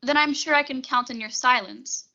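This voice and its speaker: A woman's voice: sits high, bright, forceful tone, muffled recording. A young woman acting a cool, formal, menacing blackmailer receptionist in a 1940s-style radio suspense play. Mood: cold, calculating. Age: young adult. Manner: formal, measured, polite menace. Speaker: high school theatre student actor.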